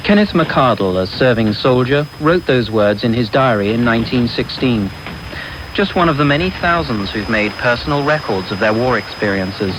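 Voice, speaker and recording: Male trail announcer, medium pitch, scripted delivery, audible medium-wave noise. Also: animated, normal speed. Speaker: radio programme trail announcer. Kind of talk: scripted narration. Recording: noisy medium-wave radio recording.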